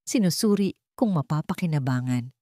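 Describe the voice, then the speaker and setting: Expressive, lowish-voiced woman storyteller. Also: normal speed, no room sound, clean studio sound. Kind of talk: scripted dramatic story narration.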